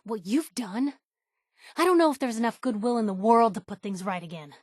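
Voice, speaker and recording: A woman's voice: fairly high pitch, lively intonation, a little fast, darkish tone, no room sound, studio quality. An actress playing a spirited, nosy young woman reporter in a video game, loyal and outspoken, talking in a wry, colloquial way. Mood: angry, disappointed. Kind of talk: video game companion dialogue.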